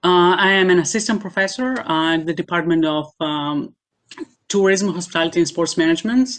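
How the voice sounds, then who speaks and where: A woman from Greece answers questions in a casual interview over a video call. Her voice sits low and deep, and she speaks in a measured way, pausing and hesitating often as she gathers her thoughts. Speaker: guest, assistant professor.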